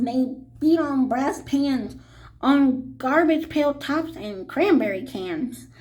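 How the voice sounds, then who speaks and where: Slow, high-voiced, animated woman storyteller. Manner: animated, dramatic, deliberate. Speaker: children's audiobook reader. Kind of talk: expressive read-aloud storytelling.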